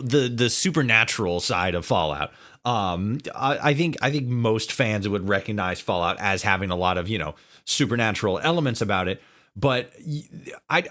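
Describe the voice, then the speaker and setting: A man hosting a casual interview, speaking in a halting, hesitant way that circles and repeats as he forms his questions. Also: mid-range voice, bright, forceful tone. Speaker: podcast host, interviewer.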